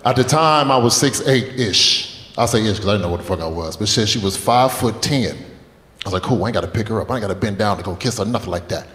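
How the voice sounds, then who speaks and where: A man's voice: on the low side, animated, quick pace, a bit bright. A man in his late twenties doing a stand-up comedy set on stage, telling loose, profane stories and talking with the crowd. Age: 20s. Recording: echoey club with long reverb. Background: audience laughter.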